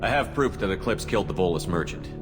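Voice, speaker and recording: A man playing a stoic military commander in a video game, terse and steady, with long reverb on the voice. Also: low voice, fast, over music. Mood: matter-of-fact. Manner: terse, steady, matter-of-fact.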